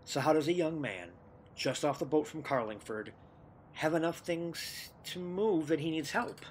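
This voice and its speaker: A man's voice: fairly high pitch, lively intonation, normal speed, slight background noise, close to an everyday voice. A man playing a jocular, needling dockworker husband in a radio play. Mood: curious. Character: joking, impatient dockworker husband, a wisecracker.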